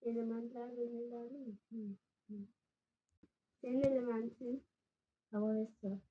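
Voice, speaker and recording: A young woman voicing a calm adult fairy guide who answers briefly and quietly, in a matter-of-fact way. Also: a little high, normal speed, muffled recording, voice pushed down, natural voice.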